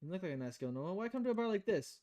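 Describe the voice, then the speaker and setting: Woman doing a low, deadpan female bartender. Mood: weary, firm. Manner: deadpan, blunt, measured. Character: dry, stern, world-weary female bartender.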